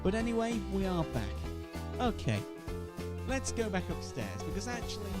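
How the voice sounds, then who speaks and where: Animated, high-pitched male radio host. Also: normal speed. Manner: rambling, self-deprecating. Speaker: host of an online radio show. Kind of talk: casual radio-style presenting to camera. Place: home studio and downstairs rooms. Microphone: switches between wireless and studio microphones. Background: background music in places.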